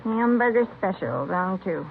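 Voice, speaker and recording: An actress playing a chatty, worried diner waitress in a 1950s radio drama, blunt and informal. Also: female voice, medium pitch, expressive, unhurried, creaky. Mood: brisk. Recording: noisy, narrow-band vintage radio recording.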